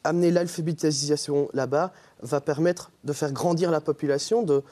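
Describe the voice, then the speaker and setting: A man's voice: on the high side, steady tone, moderate pace, mellow. A young man with a Belgian French accent, earnestly explaining as a guest in a TV interview. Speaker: student group member, guest. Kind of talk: TV interview answers.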